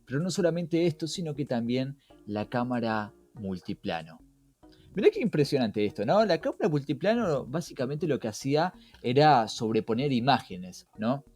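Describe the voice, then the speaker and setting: A man's voice: medium pitch, sing-song, moderate pace, a little mellow. A man with an Argentine accent hosting a podcast in a conversational style, engaging the listener with rhetorical questions. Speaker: podcast host. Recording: music behind the voice.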